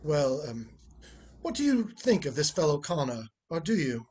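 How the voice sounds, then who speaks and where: A man playing a wry, unflappable gentleman in a radio play, dry and teasing. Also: a little high, big pitch swings, moderate pace, slight background noise. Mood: wry, casual.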